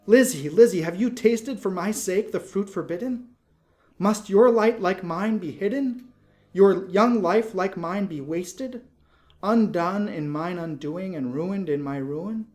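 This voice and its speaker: A young woman from storybook verse, stricken with horror for her sister, as a male reader puts her on. The pitch is lifted above a normal speaking voice. The delivery is pleading and full of lament. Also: a little slow, a little mellow. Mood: anguished, horrified. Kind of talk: dramatic poetry reading.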